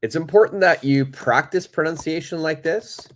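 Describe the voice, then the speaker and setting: A man teaching English in a live online lesson, who speaks slowly and deliberately, repeats key words for his learners, and has a stuffed-up nose. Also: on the high side, a bit bright.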